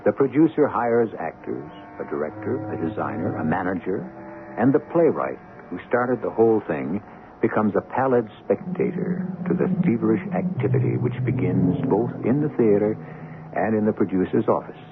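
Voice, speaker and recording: A man hosting a radio mystery show, narrating from a script in a measured, formal, theatrical manner. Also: lowish voice, animated, background noise. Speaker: host and narrator. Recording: muffled old radio broadcast recording. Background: music.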